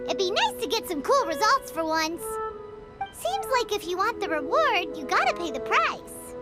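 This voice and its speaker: A woman voicing a small, chirpy female companion creature in a video game, with a squeaky, bubbly voice. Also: big pitch swings, moderate pace. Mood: grumbling. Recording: game audio with loud music behind.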